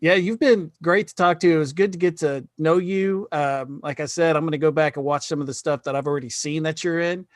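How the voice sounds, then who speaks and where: A man's voice: on the high side, brisk, few pauses, dry recording, studio quality. A man chatting easygoing and friendly as a co-host on a casual podcast.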